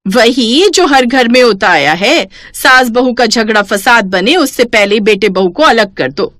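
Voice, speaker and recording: A woman voicing a responsible, middle-aged elder sister-in-law in a cartoon story, brisk, stern and admonishing. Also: a little high, animated, fairly bright tone, no echo, studio quality. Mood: sceptical. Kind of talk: Hindi cartoon moral story acting.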